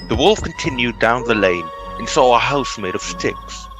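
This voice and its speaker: A man's voice: mid-range voice, lively intonation, moderate pace, low-quality recording. A man narrating a storybook tale from a script. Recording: music under the voice.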